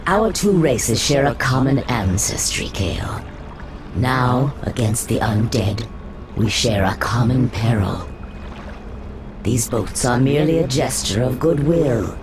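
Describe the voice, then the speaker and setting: A woman voicing a courteous but sly serpent sorceress with a silky, measured delivery in a fantasy video game. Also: a bass voice, animated, noisy background, full character voice. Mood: persuasive. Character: sly, courteous female naga serpent sorceress offering alliance. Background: loud game music.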